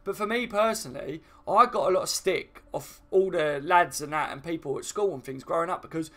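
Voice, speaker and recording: A man's voice: fairly high pitch, fairly quick, a bit bright. A man with a southern English accent hosting a football fan live stream, talking in an informal, matey, rambling way with casual opinions. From southern England.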